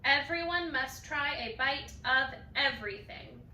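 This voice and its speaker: A woman voicing a kindly, orderly female primary school teacher in a storybook, addressing her class in a measured way. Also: high-pitched, sharp tone, exaggerated voice. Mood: kindly, instructing. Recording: roomy, distant mic, faint noise.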